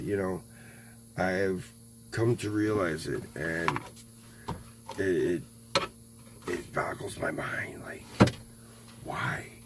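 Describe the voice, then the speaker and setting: A man in his thirties in a rambling political monologue, halting, full of fillers and frequent swearing. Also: sits low. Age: 30s. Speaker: video blogger. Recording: faint mains hum.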